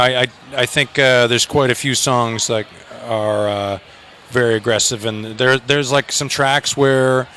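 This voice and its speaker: A man's voice: mid-range voice, sharp tone, a little hiss or hum. A man in a rock band chatting casually in an outdoor festival interview, joking and rambling with restarts.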